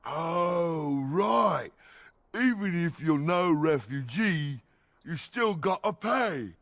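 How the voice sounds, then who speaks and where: A man's voice: on the high side, animated, extremely slow, creaky, a bit bright, dry recording, studio quality, full character voice. A man playing a dim-witted, blunt bandit henchman in a fantasy game, speaking hesitantly in rustic wording. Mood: doubtful.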